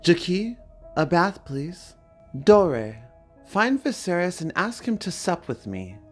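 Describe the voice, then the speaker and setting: A man acts out a gentle but newly confident young noblewoman in a fantasy tale. The speech is earnest and polite, set over music. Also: high-pitched, sing-song, slow pace, pitched above the performer's own voice, full character voice. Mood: gently commanding.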